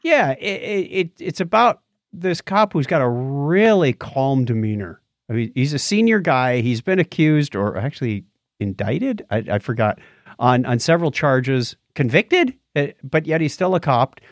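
A man co-hosts a podcast, talking through a review off the cuff. His voice sits at a middling pitch and he often restarts his phrases as he goes. The sound is dry, with little room around it. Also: very animated, unhurried, dry recording. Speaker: podcast co-host reviewing films. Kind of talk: casual unscripted review chat.

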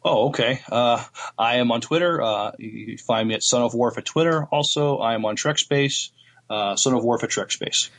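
A man co-hosting a casual podcast, speaking in a halting way with frequent pauses. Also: medium pitch, a bit bright. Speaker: podcast co-host.

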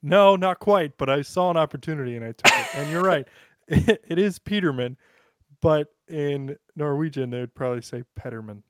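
A man's voice: a little high, moderate pace, very noisy. American man bantering on a podcast. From United States. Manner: joking, rattles off sports lists. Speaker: podcast co-host. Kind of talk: casual chat.

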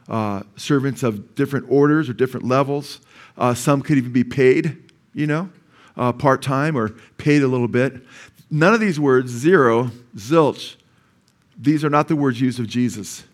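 A male pastor with a Californian accent preaching a sermon to a church congregation. He explains word meanings patiently, then builds to emphatic peaks and calls out for amens. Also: mid-range voice. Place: church sanctuary.

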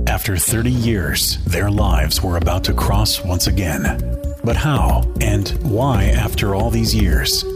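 A man narrating a book ad in a suspenseful, measured storytelling voiceover. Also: low-pitched. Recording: loud music bed.

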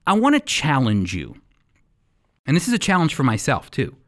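A man presents Bible teaching for radio with earnest emphasis. His medium-pitched voice moves up and down with lively inflection, in a polished, exhorting delivery. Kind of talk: sermon-like radio teaching and scripted narration.

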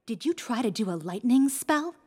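A woman's voice: fairly high pitch, sing-song, normal speed, a little mellow, husky. A woman acting a stern, learned and righteous woman in a fantasy audio drama, formal and haughty. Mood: probing, stern.

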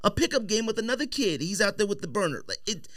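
A man's voice: sits high, very animated, fast talker, fairly bright tone, no room sound, clean studio sound. A man co-hosting a sports podcast, debating casually and emphatically, often repeating words for stress. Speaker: sports and sneaker podcast co-host. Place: podcast studio.

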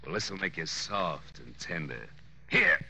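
A man's voice: extremely low pitch, animated, measured pace. A man playing an arrogant jazz trumpeter with a pompous, taunting manner, in 1940s radio drama style. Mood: haughty, taunting. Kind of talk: 1940s radio noir acting. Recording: old-time radio recording with faint noise.

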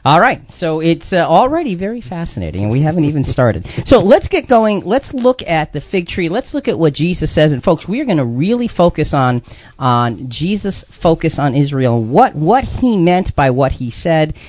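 A man with a somewhat high-pitched voice hosts a religious radio discussion. He explains things conversationally and circles back on phrases for emphasis. The sound is dry and a little muffled, like lo-fi radio. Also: sing-song, moderate pace, dry recording. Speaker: radio show host. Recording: dull lo-fi radio audio.

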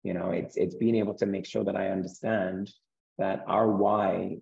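A man with a croaky, gravelly voice speaks earnestly, low and mellow in tone. He often restarts a word or two before he finds his point. The sound is dull and lo-fi. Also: level tone, normal speed. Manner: earnest, repeats words as he restarts. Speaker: guest, property investor. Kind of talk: interview conversation.

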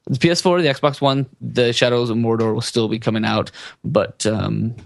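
A man's voice: medium pitch, steady tone, moderate pace, creaky, no room sound. A man co-hosting a casual gaming podcast, talking through news in a jokey, rambling way. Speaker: podcast co-host giving game news.

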